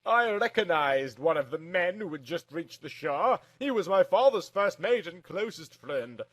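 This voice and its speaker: A man's voice: high-pitched, animated, a little slow, fairly bright tone. A man reading a first-person story aloud in a theatrical, emphatic narrating style.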